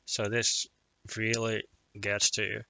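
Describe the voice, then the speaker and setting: A man's voice: fairly low pitch, completely flat delivery, slow, vocal fry, sharp tone, clean tone, dry recording. A young man from Finland with a Finnish accent and Finnish as his first language, chatting casually on a poker live stream, rambling and self-deprecating with lots of restarts and chuckles.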